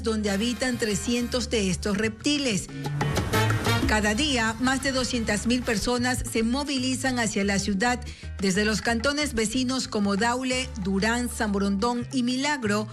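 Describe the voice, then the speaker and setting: A woman reads a scripted news report in an Ecuadorian accent. Her voice sits on the high side, and music plays clearly behind it. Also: fairly bright tone.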